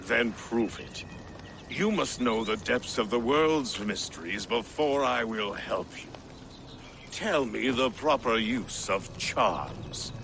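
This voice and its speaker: Eccentric old herbalist for a fantasy game; mystical, credulous, archaic phrasing, lilting and unhurried, over noisy ambience. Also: male voice, mid-range voice, expressive, vocal fry. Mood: probing, suspicious. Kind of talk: video game cutscene acting.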